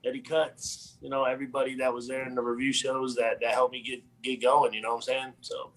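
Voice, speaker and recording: A man's voice: medium pitch, little pitch movement, fairly quick, poor audio. A man from Florida, a musician guest joining over a video call, talking in a laid-back way with easy laughs. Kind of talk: casual interview. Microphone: remote video call.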